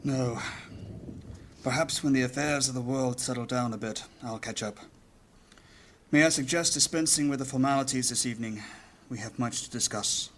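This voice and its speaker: A man playing a weary, grave leader of a mages' guild in a fantasy audio drama, speaking in measured, formal lines. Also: medium pitch, a bit bright, close to an everyday voice. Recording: music under the voice.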